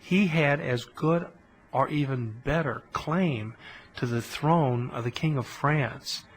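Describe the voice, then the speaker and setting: A man giving a conversational history lecture on a podcast, telling it as an animated story with colloquial asides. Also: mid-range voice, slow pace.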